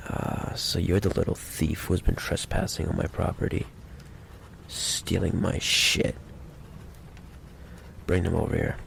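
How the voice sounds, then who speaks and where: Man playing a menacing mafia boss, very gravelly low voice, taunting and possessive, ASMR roleplay, faint background noise. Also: exaggerated voice. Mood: amused, mocking. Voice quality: deep, gravelly. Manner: slow taunting menace, mock-courteous endearments. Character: menacing, possessive male mafia boss, smooth-talking and impatient. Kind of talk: ASMR roleplay, acted monologue to the listener.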